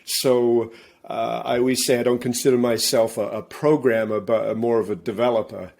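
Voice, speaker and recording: Man, English accent, thirties, halting casual talk, many restarts, video-call sound from a home room. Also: mid-range voice, flat delivery, gravelly, darkish tone. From England. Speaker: guest. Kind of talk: casual interview.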